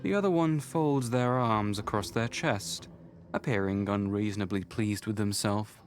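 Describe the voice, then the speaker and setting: A man performing solemn, deliberate second-person narration for a dark fantasy visual novel. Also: lowish voice, soft background music, lightly acted. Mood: measured. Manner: deliberate, literary.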